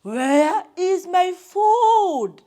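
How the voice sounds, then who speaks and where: A woman storyteller voicing a big, angry, hungry lion king with roaring, booming demands for children. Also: lively intonation, very slow, darkish tone. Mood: angry, demanding.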